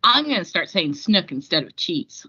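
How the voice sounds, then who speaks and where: A woman's voice: on the low side, big pitch swings, normal speed, vocal fry, sharp tone, husky, no room sound, dull audio. A woman reading a children's storybook aloud in a playful, chatty way, adding her own exclamations. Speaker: read-aloud host.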